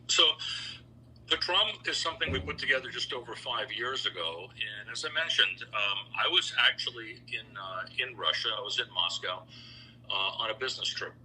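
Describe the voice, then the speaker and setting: A man's voice: mid-range voice, animated, normal speed, sharp tone, slight background noise, poor audio. A middle-aged man telling anecdotes conversationally as an interview guest, voicing the dialogue he recalls. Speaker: interview guest, businessman and choral bass singer.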